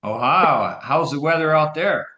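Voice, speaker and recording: A man's voice: on the high side, animated, moderate pace, sharp tone, dull audio. Chatty man in an echoey room. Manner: chatty, inquisitive. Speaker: session participant. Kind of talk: casual small talk.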